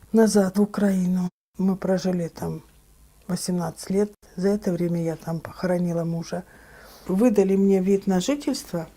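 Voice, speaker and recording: An older woman from Ukraine, recalling her life in a documentary interview, plain and unhurried with small hesitations. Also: fairly low pitch, dark tone.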